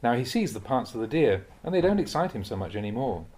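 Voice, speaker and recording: A man with a medium-pitched voice reads Buddhist teachings aloud. His delivery is measured and expressive, in the manner of an audiobook of a spoken dharma talk. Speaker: Buddhist monk reading translated teachings aloud.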